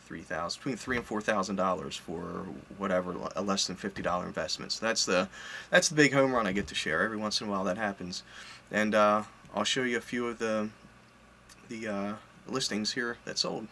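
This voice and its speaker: Chatty low-voiced man in a home office. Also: a little fast, creaky, pushed and bright, slight background noise. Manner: chatty, rambling, self-correcting, offhand. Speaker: collector and online reseller. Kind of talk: casual vlog update. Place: home office full of collectibles, indoors. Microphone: webcam at desk, seated.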